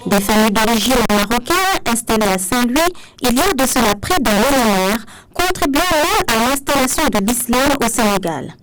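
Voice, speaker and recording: A woman narrating a documentary in a smooth, even reading voice. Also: a little high, normal speed, rough recording. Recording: clear music underneath.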